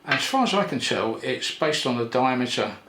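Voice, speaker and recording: Older male, mid-pitched, casual tutorial presenter thinking aloud, pausing often; roomy echo. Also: very animated, fairly bright tone. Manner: unhurried, thinking aloud with pauses. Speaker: electronics repair presenter. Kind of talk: casual hands-on tutorial narration. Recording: roomy echo with faint background noise.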